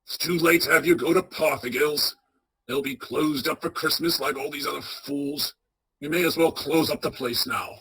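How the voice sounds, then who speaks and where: A man's voice: on the high side, moderate pace, sharp tone, slight background noise, rough recording. An actor playing a bitter, miserly old businessman in a radio play, curt, scornful and grumbling. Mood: grudging, grumbling.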